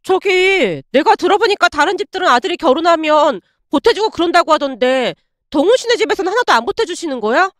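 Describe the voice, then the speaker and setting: A woman's voice: very high voice, lively intonation, a little fast, hardly any pauses, no room sound, clean studio sound, pitched above the performer's own voice. A woman voicing an entitled, indignant young ex-girlfriend in a dramatized story, whining and demanding. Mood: probing.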